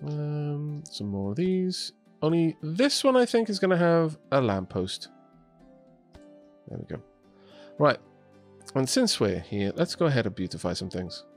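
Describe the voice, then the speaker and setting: A young Welsh man chatting his way through a building game, his voice rising and falling in a lively way as he thinks aloud. Soft music plays faintly underneath him. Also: a little high, a little slow. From Wales. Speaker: streamer. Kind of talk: casual gameplay commentary. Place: home streaming setup in Scotland.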